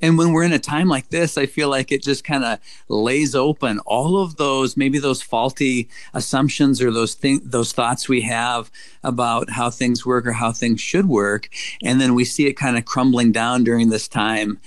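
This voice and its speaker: An encouraging man speaks in an affirming, conversational way, sometimes pausing to rephrase. His voice sits at an ordinary pitch. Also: steady tone. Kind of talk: conversational podcast discussion.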